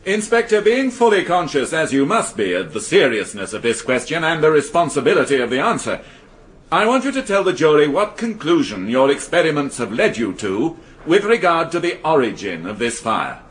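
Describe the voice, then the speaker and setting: An actor playing a formal, probing British prosecuting barrister who questions in a measured way in a radio courtroom drama. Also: male voice, a little high, sing-song. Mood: grave, pressing. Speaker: prosecuting counsel.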